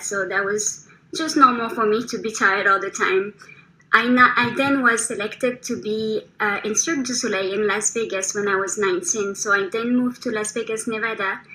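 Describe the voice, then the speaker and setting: A woman's voice: mid-range voice, bright, forceful tone, low-quality recording. A woman in her thirties from France with a French accent, earnestly telling her own health story with frequent ums and small restarts. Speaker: testimonial speaker. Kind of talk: personal testimonial.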